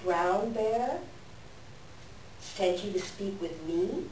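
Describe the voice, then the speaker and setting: A woman's voice: medium pitch, lively intonation, very slow, darkish tone. A woman playing a sly, selfish coyote trickster in a staged folktale reading, with folksy, boastful, wheedling talk. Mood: surprised, curious. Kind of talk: staged reader's theater. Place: live reading in a library room. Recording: echoey room, distant mic, background noise.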